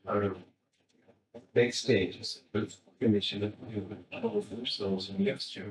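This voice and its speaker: An Irish man making an informal, rambling contribution at a community talk. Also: fairly low pitch. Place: indoor event. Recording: echoey room, distant microphone.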